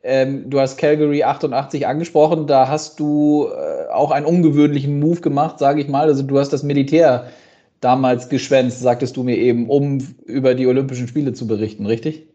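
Mellow-voiced male interviewer, slightly dull audio. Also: mid-range voice, a little fast. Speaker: interviewer, podcast host. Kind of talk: conversational interviewing.

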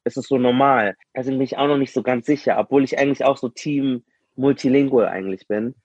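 A man's voice: mid-range voice, fast, darkish tone, breathy edge, dry recording, dull audio. A young man in a casual group discussion, thinking aloud with rambling restarts. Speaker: panel guest.

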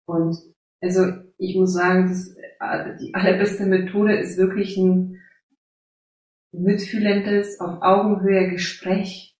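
A woman's voice: on the low side, little pitch movement, slow, darkish tone, distant mic. A middle-aged woman from Silesia with a Polish accent, speaking earnestly as a guest about her life in Germany, with frequent fillers and little affirmations. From Poland, Silesia. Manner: earnest, many fillers and "ja" tags. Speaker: guest, job coach. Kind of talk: conversational interview. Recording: echoey room, off-mic.

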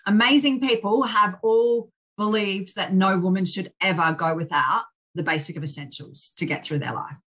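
Australian woman guest, forthright and lively, bright tone; plain-spoken, a touch salty. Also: mid-range voice, normal speed, hardly any pauses, breathy edge. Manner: blunt, energetic, plain-spoken. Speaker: charity founder, guest. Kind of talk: candid interview chat.

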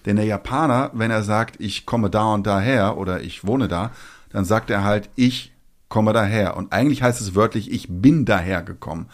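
Low-voiced dryly joking podcast host man. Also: normal speed. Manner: joking, ironic asides; explains like a teacher. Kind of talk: casual chat.

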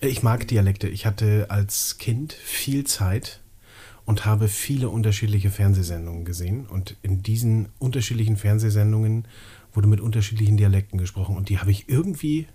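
A man with a low, dark and slightly husky voice chats casually as co-host of a podcast. He jokes about himself and often breaks into laughter. His delivery stays relaxed and conversational. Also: moderate pace. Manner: joking, self-deprecating, laughs often. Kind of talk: casual chat.

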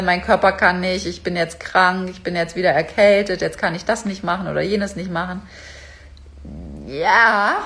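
Female vlogger, casual coaching monologue, speaks straight to the viewer. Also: medium pitch. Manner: chatty, direct, addresses viewer as du. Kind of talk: casual motivational talk to camera.

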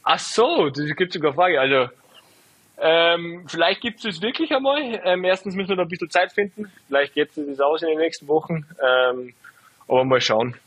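A man in his twenties, a footballer, answering interview questions in a relaxed, halting way full of fillers. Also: a little high, dry recording, muffled recording. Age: 20s. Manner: hesitant, lots of fillers, relaxed. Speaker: footballer guest.

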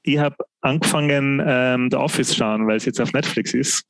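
A man's voice: medium pitch, no room sound, low-quality recording. Hesitant man, dry close sound. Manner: hesitant, many "ähm" fillers and restarts, slow when musing. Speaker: podcast co-host. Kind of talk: casual chat.

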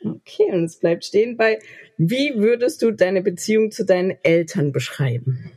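A woman hosting an interview podcast over a video call, chatty and quick to laugh, with frequent restarts. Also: medium pitch, expressive, warm tone, slightly rough, dry recording. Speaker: podcast host. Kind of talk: casual interview chat.

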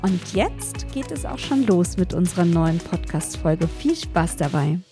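A woman's voice: on the low side, animated, unhurried, over music. A woman hosting a business podcast, reading a scripted intro and then asking friendly, upbeat interview questions. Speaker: podcast host and PR lead.

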